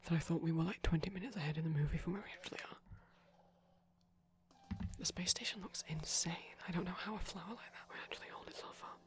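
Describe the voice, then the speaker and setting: A man playing a shy, gentle vampire boyfriend in an ASMR roleplay, whispering softly and rambling hesitantly over a TV soundtrack. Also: a little high, fast, close to an everyday voice. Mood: apologetic, bashful. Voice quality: soft, breathy whisper. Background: film audio and music from a TV.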